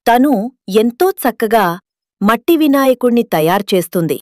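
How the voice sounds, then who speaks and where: A woman's voice: fairly high pitch, expressive, moderate pace, darkish tone, no room sound, clean studio sound. A woman narrating a scripted moral story. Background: background music.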